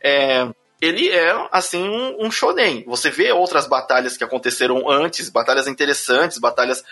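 Bright, raspy Brazilian man in casual chat. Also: mid-range voice, animated, moderate pace. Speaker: podcast host leading discussion. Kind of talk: casual chat about manga. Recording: faint music behind the voice. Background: music.

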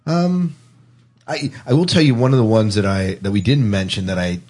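A man's voice: lowish voice, normal speed, darkish tone. A man hosting a podcast, casually discussing a film and often repeating phrases as he works out his point. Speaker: podcast host.

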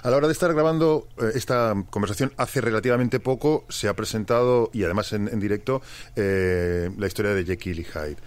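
Low-voiced male interviewer, hesitant phrasing. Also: a little fast, clean tone. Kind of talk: conversational interview questions.

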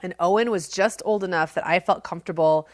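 A woman in her forties co-hosting a podcast, telling stories in a loud, animated, projecting voice. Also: on the low side, fast, no room sound, clean studio sound. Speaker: podcast co-host. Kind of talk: casual chat.

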